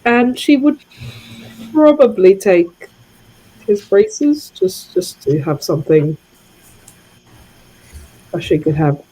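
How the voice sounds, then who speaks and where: A woman's voice: medium pitch, very animated, takes it slowly, long pauses, mellow, breathy edge, low-quality recording. A woman improvising a story as a player in a tabletop roleplaying show, speaking tentatively.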